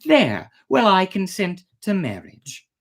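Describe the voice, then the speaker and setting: A storyteller voicing a young fairytale princess, demure and poised but quietly demanding. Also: medium pitch, wildly swooping pitch, slow, voice pushed up, full character voice. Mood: coy, demanding.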